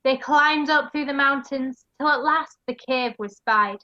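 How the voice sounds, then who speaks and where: Bright, high-voiced woman as cheeky pantomime storyteller. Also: a little slow, highs cut off. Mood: storytelling. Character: cheeky young brother narrating pantomime tale in rhyme. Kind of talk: rhyming pantomime narration.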